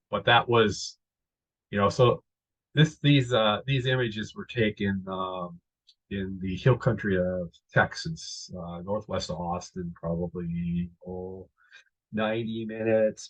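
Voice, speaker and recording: A man's voice: fairly low pitch, breathy edge. A middle-aged man from North Dakota giving a webinar presentation with slides, hesitant and full of ums and restarts. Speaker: guest presenter, astrophotographer. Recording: dull lo-fi webinar audio.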